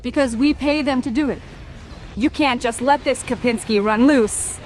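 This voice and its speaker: An indignant mother, played by an actress in a crime audio drama. Her voice is fairly high and protective in temper, with light outdoor street noise behind it. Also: normal speed, lightly acted, rough recording.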